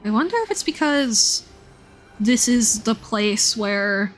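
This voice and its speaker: A woman with a fairly high voice hosts a casual game livestream. She thinks out loud excitably, exclaiming and leaving thoughts half finished. Music plays behind her as she talks. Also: slow pace. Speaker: livestream host playing a game and discussing its lore. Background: game music under the voice.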